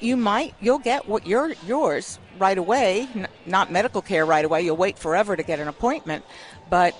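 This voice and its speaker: A woman's voice: medium pitch, low-quality recording. A woman from Cuba, a native Spanish speaker, talking firmly as a political candidate and guest on a live radio show at an outdoor festival. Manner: firm, steady, conviction-driven.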